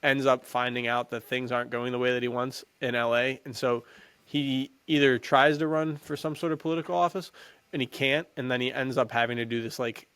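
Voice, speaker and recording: Guy podcast host w lots of vocal fry, just chatting movies, slangy n halting. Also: mid-range voice, fairly even intonation, fairly bright tone, no echo. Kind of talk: casual movie chat.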